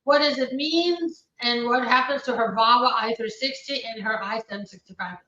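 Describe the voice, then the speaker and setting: A woman attorney, originally from Ukraine, hosting a live stream and reading viewers' questions aloud. She answers each one briskly and matter-of-factly, with frequent fillers. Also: a little high, pushed and bright. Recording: roomy, distant, dull live-stream audio.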